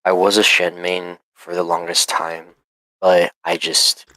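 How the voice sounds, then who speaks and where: A man's voice: sits low, monotone, a little slow, sharp tone, no echo, rough recording. A man on a call, a little sick and coughing, describing his play in a hesitant, filler-heavy way. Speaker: student player. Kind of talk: casual call conversation.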